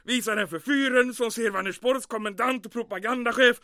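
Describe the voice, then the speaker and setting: Pompous German commandant, high-strung and shrill. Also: male voice, no room sound, clean studio sound. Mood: hysterical despair. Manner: theatrical, excitable, mixes German exclamations into speech. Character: pompous, vain German military commandant, prone to panic. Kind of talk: old-time radio comedy acting.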